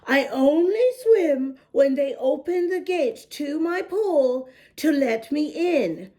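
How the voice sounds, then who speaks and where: Woman voicing a cheerful little elephant boy, high-pitched. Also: lively intonation, takes it slowly, dark tone. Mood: confident, helpful. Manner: friendly, eager, explaining patiently.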